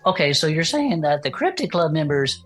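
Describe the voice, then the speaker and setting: An actress playing a folksy, easily amazed small-town cryptid hunter in an audio drama, talking in exclamations and country phrasing. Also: female voice, low voice, big pitch swings, moderate pace, soft background music. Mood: curious, piecing together. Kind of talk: conversational audio fiction dialogue.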